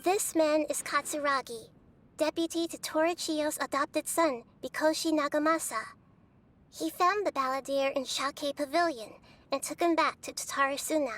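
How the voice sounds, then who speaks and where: A woman voicing a serene, wise female guide in a video game, telling history in a measured, formal way. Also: sits high, steady tone, fairly bright tone. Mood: solemn. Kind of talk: composed scripted exposition, game voice acting.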